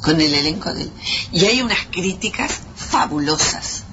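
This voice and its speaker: A woman's voice: lowish voice, animated, normal speed. A woman with a Uruguayan accent reminiscing casually as a radio guest, emphatic and repeating words for stress. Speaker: actress, interview guest. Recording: dull, very noisy old radio tape.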